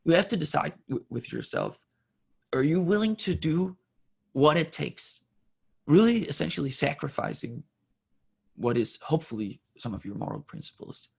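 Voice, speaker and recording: A man in his forties from Denmark, a native Danish speaker, talking candidly in an interview in a halting, self-correcting way with a husky, gravelly voice. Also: fairly high pitch, very animated. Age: 40s. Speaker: interview guest.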